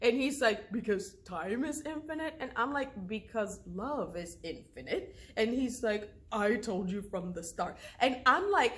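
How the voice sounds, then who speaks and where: An actress plays a frantic, jilted woman in her thirties with a fairly high voice. She is wry and desperate, firing through a repetitive back-and-forth in a comic-dramatic stage monologue. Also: expressive, unhurried, few pauses, fairly bright tone. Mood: insistent, exasperated.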